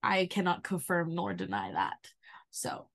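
A woman's voice: sits low, big pitch swings, unhurried, fairly bright tone. A young woman co-hosting a podcast, playful and joking in casual chat. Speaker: podcast co-host.

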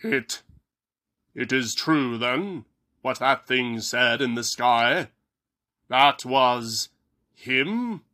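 A man doing a regal bird high priest. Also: lively intonation, drawn-out words, pauses often, bright, forceful tone, heavily acted. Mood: shaken.

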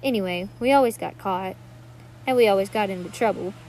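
A woman's voice: medium pitch, a little fast, a little mellow, close to an everyday voice. A woman acting an earnest, pleading sister, noisy recording. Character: earnest pleading young woman, devoted sister coaxing memories. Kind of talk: dramatic student monologue reading.

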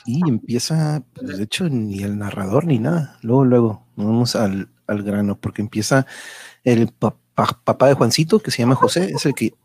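A man's voice: mid-range voice, expressive, moderate pace, a little mellow, breathy edge, no room sound. A man hosting an online radio drama show, chatting casually and repeating himself as he addresses viewers. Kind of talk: casual live-stream chat.

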